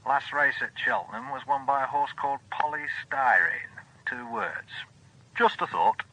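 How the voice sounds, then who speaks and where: A sharp, gravelly senior policeman. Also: mid-range voice, animated, moderate pace, poor audio. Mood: offhand. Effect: telephone filter. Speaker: actor in a radio drama. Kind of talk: radio drama phone dialogue.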